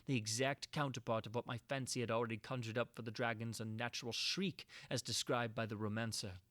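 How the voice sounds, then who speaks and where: A man narrates classic horror fiction with formal, theatrical poise. His delivery is measured and literary, in a mid-pitched voice, recorded close and dry. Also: a bit bright, dry recording. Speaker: reader of classic horror tales.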